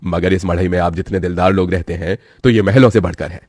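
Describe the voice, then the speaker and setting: A man playing a commanding, gruff rural landowner and patriarch in a cartoon moral story, with an authoritative manner. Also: deep voice, fairly even intonation, brisk, a little mellow, no room sound, studio quality. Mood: stately.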